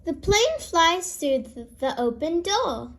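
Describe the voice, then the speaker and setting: Female children's read-aloud host: very high voice, highly melodic, expressive storybook delivery. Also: slow pace, a little hiss or hum.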